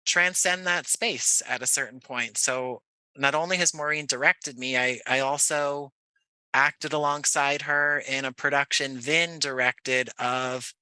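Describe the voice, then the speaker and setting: A man's voice: medium pitch, fairly even intonation, measured pace, pushed and bright, clear, resonant tone, no echo, rough recording. A man from Jersey City chatting casually as an interview guest, telling stories in a discursive way. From Jersey City, United States. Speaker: guest playwright and director. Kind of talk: casual podcast conversation.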